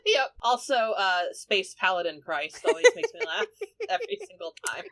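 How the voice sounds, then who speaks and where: A woman's voice: a little high, wildly swooping pitch, normal speed, fairly bright tone. A woman who plays in a tabletop podcast, chatting casually with the group in an emphatic, giggly manner. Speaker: podcast cast member, tabletop player.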